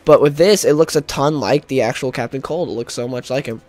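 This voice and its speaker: A young man with a stuffed-up nose, chatting casually and rambling excitedly while he plays a game. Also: mid-range voice, brisk. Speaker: gaming YouTuber. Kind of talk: casual gameplay commentary.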